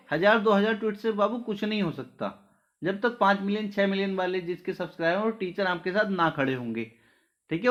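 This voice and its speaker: Man, high-pitched, informal coaching talk, earnest, reassuring, frequent tag questions, dull lo-fi recording. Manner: repeatedly checks in with short tag questions. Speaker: online exam-coaching teacher. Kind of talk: informal address to students. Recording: dull, lo-fi audio.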